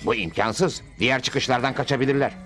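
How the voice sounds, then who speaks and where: A man's voice: medium pitch, a little fast, loud music behind the voice, close to an everyday voice. A man voicing a brave, confident cowboy hero in a dubbed western cartoon. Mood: matter-of-fact. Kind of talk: Turkish-dubbed cartoon acting.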